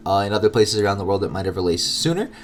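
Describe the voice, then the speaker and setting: A man's voice: deep voice, big pitch swings, racing, a little hiss or hum. A man with a stuffed-up, nasal voice co-hosting a podcast in casual, chatty style. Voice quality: nasal, congested. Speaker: podcast co-host.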